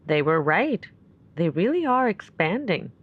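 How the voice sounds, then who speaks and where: A woman playing a worried, caring and suspicious character in an audio drama, speaking naturally and earnestly with gentle insistence. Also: lowish voice, big pitch swings, a little slow, husky, lo-fi sound, exaggerated voice. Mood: uneasy, curious.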